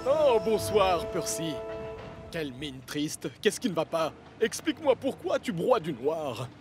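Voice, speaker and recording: A man voicing a busy, good-natured junkyard machine in a French cartoon dub, with a jovial, animated manner. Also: on the high side, unhurried, faint music behind. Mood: concerned.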